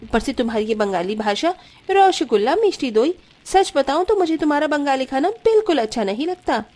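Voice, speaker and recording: Woman putting on a high-pitched, scolding Punjabi sister-in-law. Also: very animated, fairly quick, a little mellow. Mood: angry, scornful. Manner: scolding, taunting. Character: hot-tempered, scornful Punjabi elder sister-in-law.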